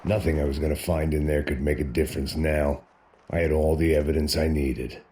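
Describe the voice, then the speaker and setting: An actor playing a middle-aged, bitter American ex-cop who narrates in a brooding, deadpan noir style. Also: male voice, rumbling low, a little fast. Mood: bitter, resigned. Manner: world-weary, brooding, deadpan. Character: weary, bitter, self-loathing American ex-cop antihero. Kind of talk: scripted noir voice-over narration and game dialogue.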